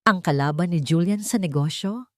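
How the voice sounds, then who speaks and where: A narrator plays a betrayed wife in a romance audio drama, a tense and wary woman. She questions bluntly in a low, dry-sounding voice. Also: moderate pace, darkish tone, dry recording, natural voice. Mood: puzzled, tense.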